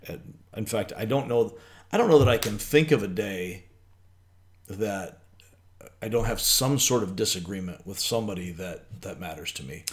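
A middle-aged man with a somewhat low voice talks his way through ideas on a podcast. He restarts often and thinks aloud in a loose, rambling way. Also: lively intonation, normal speed. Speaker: podcast co-host. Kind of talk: casual faith podcast chat.

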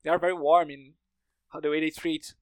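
Brazilian-accented man, native Portuguese speaker, slightly high pitch, dry recording, informal storyteller. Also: level tone, fairly quick, dry recording. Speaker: podcast speaker, language learner. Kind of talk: casual conversation.

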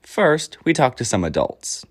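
Upbeat male show host, sassy, casual delivery, mid pitch. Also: very animated, unhurried, slightly rough. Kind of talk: casual morning show chat.

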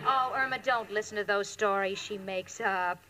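A woman playing a sensible, wry young roommate with crisp, emphatic delivery in a 1940s radio comedy. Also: medium pitch, moderate pace, close to an everyday voice. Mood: exasperated. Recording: faintly noisy old radio recording.

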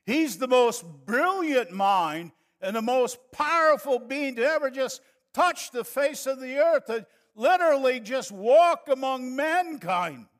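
A man's voice: extremely high pitch, animated, measured pace, fairly bright tone. An older man from northern Wisconsin with an Upper Midwest accent, preaching a folksy, chatty sermon that builds to fervent passages. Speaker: pastor.

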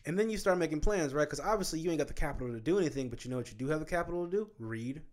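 A man in his late twenties hosting a casual chat, animated and profane, driving his points home with "right?". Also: on the high side, very fast. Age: 20s.

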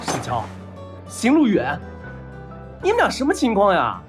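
An actor playing a spoiled, dramatic, lovelorn heir of about thirty in a modern audio drama, with a whiny, theatrical delivery. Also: male voice, high-pitched, wildly swooping pitch, measured pace, soft background music, exaggerated voice. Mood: indignant teasing.

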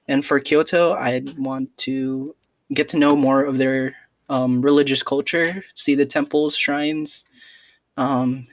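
Young adult student podcaster; flat, slow and hesitant, earnest, dry close sound. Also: mid-range voice, no echo. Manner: hesitant, many fillers and restarts. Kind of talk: casual personal podcast monologue.

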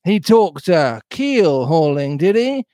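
A man voicing a wily, blustering pirate sea-cook from a storybook, with drawn-out, emphatic delivery. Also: fairly high pitch, very animated, warm tone, no echo, studio quality, voice pushed up. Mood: excited, scheming. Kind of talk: storybook read-aloud character voice.

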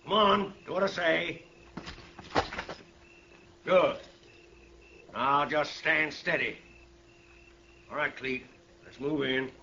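An actor playing a bossy, vengeful, gravelly outlaw father in a 1950s radio western. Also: on the high side, takes it slowly, pauses often, sharp tone, full character voice. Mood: menacing. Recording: dull, noisy old radio broadcast.

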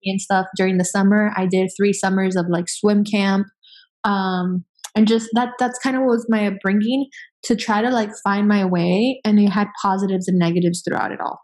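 A woman in her thirties, born in Venezuela, talking as a podcast guest. She runs her stories together in quick, chatty sentences full of fillers. Her voice sits at an ordinary middle pitch for a woman. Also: fairly even intonation, a little mellow. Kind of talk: casual conversational interview.

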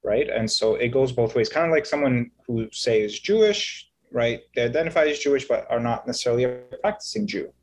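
A man in his thirties, from Egypt and raised in Canada, telling his story as an interview guest in a halting, explanatory way, often checking in with "right?". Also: mid-range voice, lively intonation, a little hiss or hum, rough recording. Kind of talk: conversational storytelling.

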